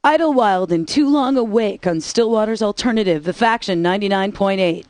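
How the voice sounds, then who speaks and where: A woman's voice: medium pitch, fairly quick, a little mellow, no room sound. A woman radio DJ with sarcastic, wisecracking scripted patter, recorded close on a studio mic.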